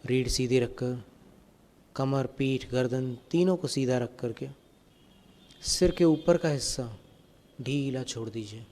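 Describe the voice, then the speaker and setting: A man guiding a meditation as a spiritual teacher, giving calm, gentle, step-by-step instructions. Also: medium pitch, slow, long pauses. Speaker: Jain monk and meditation teacher.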